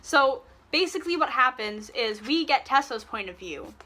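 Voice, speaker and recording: A woman's voice: high voice, animated, normal speed, bright, forceful tone. A young woman ranting to camera about a book in an exasperated, sarcastic way, swearing freely. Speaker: book reviewer. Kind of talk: casual rant to camera.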